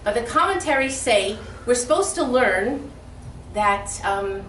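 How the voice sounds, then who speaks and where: Woman from detroit giving a funny lively speech, higher voice, far off in a roomy hall. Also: unhurried. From Detroit, Michigan. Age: older. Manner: lively, humorous, speaks from the heart. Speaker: guest speaker. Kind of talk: public speech. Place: event hall with audience. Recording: echoey hall from far off, faint noise.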